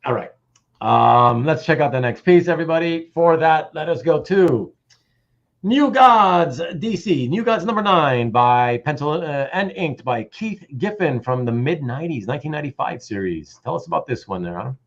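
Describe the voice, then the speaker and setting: A man's voice: a little high, lively intonation, normal speed, dull audio. A man hosting a casual livestream about comic art, reading out chat comments and rambling with repeated words and self-interruptions.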